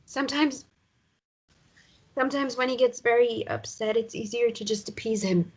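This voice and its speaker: A woman acting a weary, evasive mother in a naturalistic drama table read, speaking haltingly and appeasingly. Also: a little high, darkish tone, slightly rough. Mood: weary, defensive. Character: weary mother downplaying her ex's abuse, evasive.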